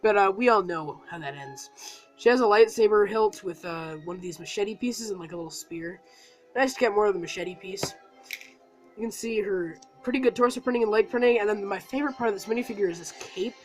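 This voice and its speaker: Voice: mid-range voice, rough recording. A reviewer with a cold talking casually through a toy set review, rambling and full of fillers. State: has a cold.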